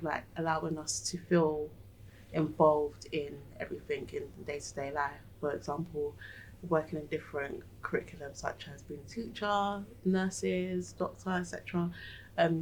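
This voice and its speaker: Woman school support worker, monotone, earnest. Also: low-pitched, moderate pace, a little hiss or hum, low-quality recording. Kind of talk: panel discussion.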